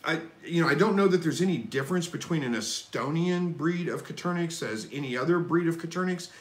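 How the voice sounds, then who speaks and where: A man hosting a live stream, reading viewer questions and answering in a relaxed, folksy, rambling way. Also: on the high side, fairly quick, reverberant. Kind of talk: casual live chat Q&A.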